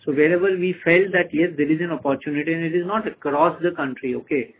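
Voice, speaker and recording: A man with an Indian accent and a husky voice, answering analysts on an earnings call as a company executive, hesitantly and with repeated phrases. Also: fairly high pitch, quick pace, slight background noise. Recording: muffled narrow phone conference line.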